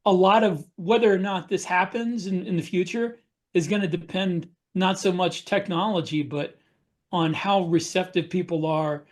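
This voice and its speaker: A man in a casual podcast discussion, explaining things in a measured way with pauses. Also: sits high. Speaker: podcast panelist and author.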